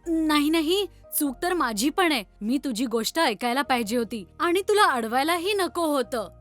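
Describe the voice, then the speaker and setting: High-voiced, expressive elder sister in a cartoon. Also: female voice, normal speed, soft background music. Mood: remorseful, kind. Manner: expressive, dramatic delivery. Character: bossy elder sister Mala, teasing, later repentant and kind. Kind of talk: children's cartoon dub.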